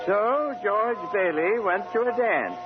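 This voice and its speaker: An actor playing a naive, curious, elderly apprentice angel in a 1940s radio drama. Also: male voice, high voice, animated, takes it slowly, over loud music. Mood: curious, puzzled. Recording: muffled 1940s radio recording.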